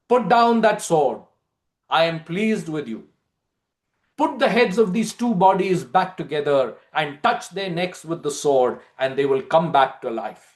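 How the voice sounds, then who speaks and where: A man's voice: on the high side, lively intonation, unhurried. A man voicing a stern, blunt goddess in a dramatic storytelling reading, commanding and scornful.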